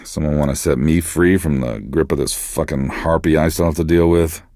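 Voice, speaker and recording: A sad-sack middle-aged male DJ for a game radio station. His low voice sits in a dry studio sound, and he delivers bitter, deadpan banter that keeps sliding into self-pity. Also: moderate pace, no room sound. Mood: bitter, sardonic. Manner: deadpan, sardonic, self-deprecating. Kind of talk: radio show hosting, comic self-pitying banter.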